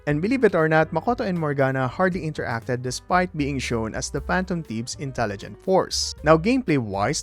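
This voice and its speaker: A man presents a scripted gaming video essay with a lively, varied intonation. He leans on the openings of his phrases and speaks straight to the viewer. Soft music plays behind his mid-pitched voice. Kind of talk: scripted video game commentary. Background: background music.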